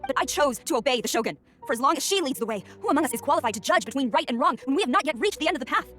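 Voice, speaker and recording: A woman's voice: high voice, lively intonation, very fast, fairly bright tone, faint music behind. An actress playing a stern, dutiful young woman general in a video game, speaking in a formal, commanding and earnest way. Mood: resolute. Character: stern, dutiful young female general, betrayed by her master. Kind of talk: dramatic video game acting.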